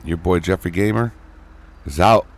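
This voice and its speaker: Low-voiced man giving a casual gaming tutorial. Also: normal speed. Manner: folksy, addresses viewers as y'all and folks. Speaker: host, gaming tutorial creator. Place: indoors at a gaming computer, voice over screen recording.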